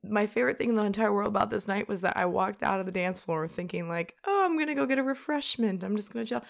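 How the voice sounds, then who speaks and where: A young woman co-hosting a podcast, chatting casually and animatedly and laughing often. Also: fast. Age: young adult. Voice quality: gravelly low voice. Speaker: podcast co-host. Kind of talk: casual chat.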